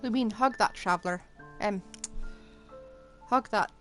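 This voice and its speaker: A woman is live-streaming a game in a medium-pitched voice. Her commentary is informal and wry, made of short asides. Soft music plays faintly behind her. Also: measured pace.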